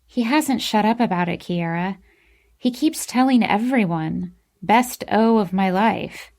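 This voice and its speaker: A calm young woman acted by a female narrator steps in as the frank friend in an audiobook story. She sounds cool, matter-of-fact and insistent, speaking without hurry. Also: mid-range voice, a little slow.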